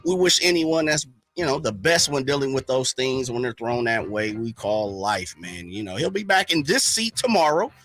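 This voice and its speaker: Upbeat man with a bright voice on sports radio. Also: medium pitch, animated, normal speed, few pauses. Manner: hype, slangy and playful. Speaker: radio co-host.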